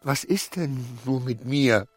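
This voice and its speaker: An actor playing an anxious, muddled clerk in a radio play, muttering hesitantly to himself in inner monologue. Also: male voice, mid-range voice, slow. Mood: bewildered, uneasy. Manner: hesitant, muttering to himself, swinging from murmur to outburst. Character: anxious, muddled male clerk, brooding and self-persuading. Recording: studio radio play with music.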